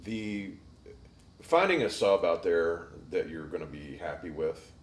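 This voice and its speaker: A male singer as a guest in a casual interview, speaking haltingly and repeating words before finishing his thoughts. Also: sits low, lively intonation. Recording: roomy with faint ambience.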